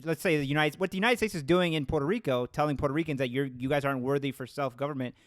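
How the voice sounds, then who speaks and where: A man's voice: on the high side, racing, no room sound, studio quality. A man interviewing casually, often checking for agreement with short tag questions.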